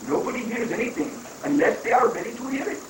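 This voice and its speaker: A man's voice: extremely high pitch, very animated, fast talker. A man playing a glib, unruffled guru who hands out mock-wise reassurance in an amateur reading of a stage satire. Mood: reassuring. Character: guru counselling troubled government officials, unruffled and glib. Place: room at a live library reading. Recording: distant, echoey room recording with faint noise.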